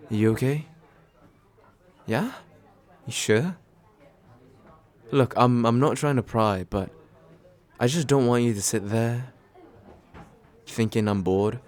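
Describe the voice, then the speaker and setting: A man playing a tender, nervous, attentive date in a soft, intimate ASMR roleplay, halting and gently self-deprecating with small stammers. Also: medium pitch, lightly acted. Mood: gentle, concerned. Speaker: voice actor in an audio roleplay.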